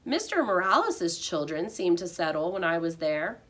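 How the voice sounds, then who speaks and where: Bright, animated woman reading a children's story. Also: on the low side, moderate pace. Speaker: teacher reading aloud. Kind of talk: expressive children's storybook narration.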